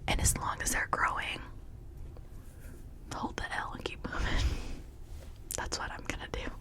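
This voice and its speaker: A woman speaking in a breathy whisper, rambling informally in a chatty ASMR style. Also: normal speed. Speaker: ASMR creator showing and unboxing toys.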